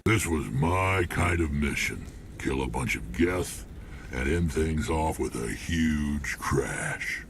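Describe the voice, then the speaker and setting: An actor voicing a huge, gruff, battle-hungry alien mercenary in a video game. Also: male voice, a bass voice, expressive, slow pace, fairly bright tone, slight background noise, full character voice. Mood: gruff satisfaction. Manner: gruff, relishing combat. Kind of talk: dramatic video game acting.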